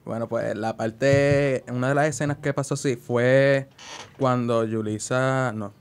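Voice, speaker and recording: Clear-voiced, halting young man. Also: mid-range voice, steady tone. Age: young adult. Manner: hesitant, halting, searching for words. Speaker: student guest. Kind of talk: casual interview answers.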